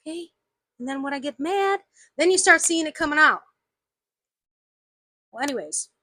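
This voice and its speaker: A woman's voice: sits high, animated, normal speed, sharp tone, no echo, clean studio sound. A woman with a slight Southern US accent, ranting indignantly to camera in a video of her own, emphatic and repeating her points. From United States. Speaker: vlogger. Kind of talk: angry opinion rant to camera.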